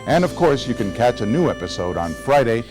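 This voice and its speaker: A man's voice: mid-range voice, sing-song, brisk, music in the background. A man hosting a live community TV show, interviewing a guest and signing off with friendly filler-laden talk. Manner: friendly, many fillers and restarts. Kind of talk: live studio interview and sign-off. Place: TV studio.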